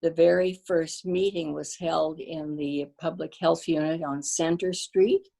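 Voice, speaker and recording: An older woman, born to a Danish-speaking family, reminiscing about her community in a casual interview, pausing often with fillers and restarts. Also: low voice, fairly even intonation, vocal fry. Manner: warm storyteller, halting with fillers and restarts. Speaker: guest.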